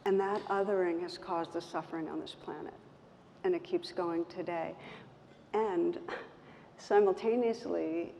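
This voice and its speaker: A woman with a soft voice speaking gently and reflectively as a meditation teacher, in measured phrases with pauses. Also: on the low side, fairly even intonation, gravelly. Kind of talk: reflective talk in an on-stage conversation. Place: event stage in a hall. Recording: roomy hall, muffled, background noise.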